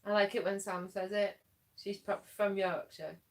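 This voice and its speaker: A woman's voice: mid-range voice, steady tone, normal speed, gravelly. A woman from Wales with a Welsh accent co-hosting a live stream, chatting casually in a playful, self-deprecating way. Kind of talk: casual chat. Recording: voice somewhat far from the mic.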